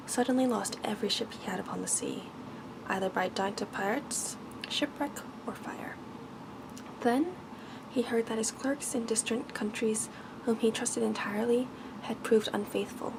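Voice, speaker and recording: A woman softly reading a bedtime story in ASMR style, gentle and unhurried, with a breathy voice that often slips into a whisper. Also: medium pitch. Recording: noticeable background noise.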